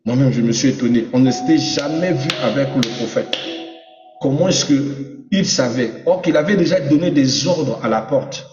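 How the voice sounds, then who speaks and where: A man preaches with fervour, his voice climbing to emphatic peaks as he addresses the congregation. He sounds distant from the mic, and the dull recording carries a long hall reverb over steady music. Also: mid-range voice. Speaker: apostle preaching. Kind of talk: sermon teaching with personal testimony.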